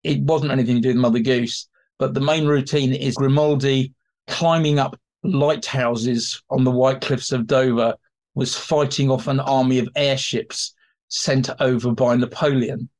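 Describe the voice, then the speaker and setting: A man's voice: mid-range voice, fairly even intonation, measured pace, darkish tone. Man explaining history informally. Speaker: expert guest, theatre historian. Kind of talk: informal explanatory interview talk.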